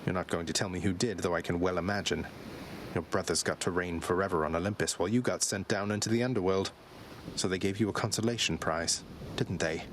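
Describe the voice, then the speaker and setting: A man's voice: low voice, fairly even intonation, fairly quick, background noise, close to an everyday voice. A man voicing a young, earnest, sardonic prince of the underworld in a video game. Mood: wry, accusing.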